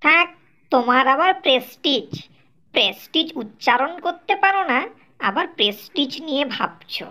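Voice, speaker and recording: A woman voicing a sharp-tongued, exasperated young village wife in a Bengali cartoon, scolding and sarcastic. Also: sits high, lively intonation, moderate pace.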